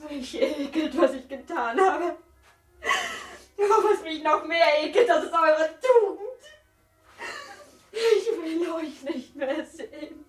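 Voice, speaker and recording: Distraught young wife for radio drama: high, slow, bitter and raw. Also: female voice, lively intonation, roomy sound, distant mic. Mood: sobbing disgust. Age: young adult. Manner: anguished, halting, emotionally raw. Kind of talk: German radio play acting.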